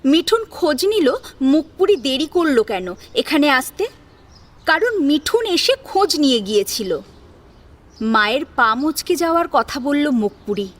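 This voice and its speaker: A woman's voice: high-pitched, a bit bright. A woman reading a dramatic audio story as its narrator. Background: background music.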